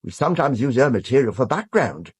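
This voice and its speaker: An actor with a British accent playing a fussy, chatty older newspaper archivist in an audio drama, muttering and exclaiming. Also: male voice, medium pitch, very animated, brisk, darkish tone, slightly rough, dry recording. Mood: helpful. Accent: British English. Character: fussy, chatty old male newspaper archivist, easily scandalised. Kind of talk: full-cast audio drama acting.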